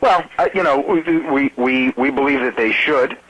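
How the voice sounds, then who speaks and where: An American man, a campaign spokesman, answering reporters on a conference call in a halting, measured way. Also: medium pitch, a bit bright, slightly rough, rough recording. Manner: halting, measured, hesitant delivery.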